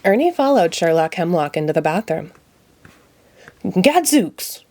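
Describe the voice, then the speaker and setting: Animated, mellow woman reading a storybook. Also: mid-range voice, moderate pace, dry recording. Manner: expressive storytelling. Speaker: storybook reader. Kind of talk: children's storybook read-aloud.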